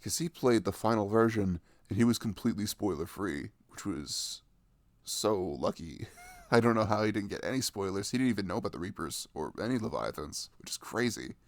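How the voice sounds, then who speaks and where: A man's voice: fairly low pitch, animated, brisk, no room sound. A young adult man chatting casually and enthusiastically about video games in a rambling, slangy way, close to the microphone.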